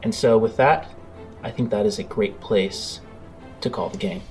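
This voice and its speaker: A man improvising halting, descriptive narration as the game master of a tabletop role-playing game. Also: fairly low pitch. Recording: music bed under the voice. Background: background music.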